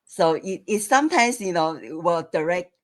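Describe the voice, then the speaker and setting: A woman's voice: fairly low pitch, lively intonation. A woman guest in a casual online chat, speaking hesitantly with frequent small laughs. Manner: slow, hesitant, laughs often. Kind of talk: casual live chat interview. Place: lived and worked in the United States.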